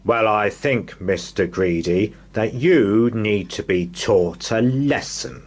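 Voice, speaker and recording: A man voicing a huge, stern, mocking storybook giant with a thundering, drawn-out bellow. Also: on the low side, slightly rough, heavily acted.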